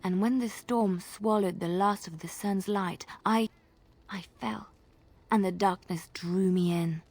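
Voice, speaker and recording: A woman's voice: mid-range voice, steady tone, a little slow, a little mellow, no room sound. An actress playing a devout, dreamy young woman bard in a video game, earnest and wistful. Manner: earnest, wistful, drops to a whisper.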